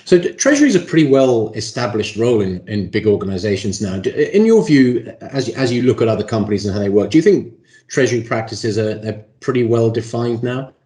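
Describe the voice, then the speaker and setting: A man's voice: medium pitch, sing-song, brisk, dark tone. A man hosting a business interview, putting conversational questions to a guest, with a roomy echo on his voice. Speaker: interviewer, host. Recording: roomy, echoing voice pickup.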